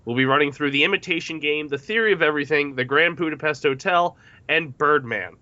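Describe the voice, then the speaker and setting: Bright-voiced male podcast host. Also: fairly high pitch, no echo, highs cut off. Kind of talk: casual film discussion with read-out notes.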